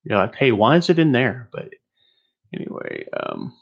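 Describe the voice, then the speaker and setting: A man's voice: mid-range voice, huge pitch swings, normal speed, vocal fry. Texas guy teaching over a webinar, jokes at himself, rambles a lot, kinda muffled lo-fi sound. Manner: rambling, joking, self-deprecating. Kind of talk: online teaching presentation.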